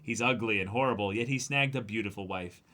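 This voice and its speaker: A man's voice: on the low side, a little fast, pushed and bright, a little hiss or hum. A man co-hosting a manga reading stream, chatting casually and reading aloud.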